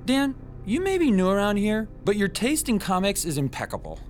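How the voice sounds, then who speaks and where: A man's voice: high-pitched, wildly swooping pitch, brisk, darkish tone, soft background music. A man playing a timid, geeky comic shop owner with a nervous, hesitant manner in a radio drama. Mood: earnest.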